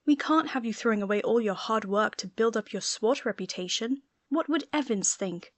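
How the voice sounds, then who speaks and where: A woman's voice: a little high, lively intonation, normal speed, no room sound. A woman voicing an irritable, defiant teenage schoolboy with an indignant, sarcastic way of talking in an audiobook.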